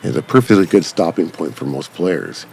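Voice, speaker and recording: A man's voice: deep voice, expressive, normal speed, darkish tone, a little hiss or hum. A man giving casual, enthusiastic, rambling gameplay commentary as a channel creator. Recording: background music behind the voice. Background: music.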